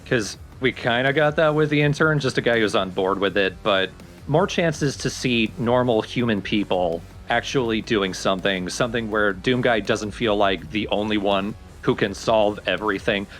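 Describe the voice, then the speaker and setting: A man's voice: lowish voice, normal speed, a bit bright. A man giving casual, analytical and opinionated commentary on a video game. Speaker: let's play co-commentator. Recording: background music under the voice. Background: game music.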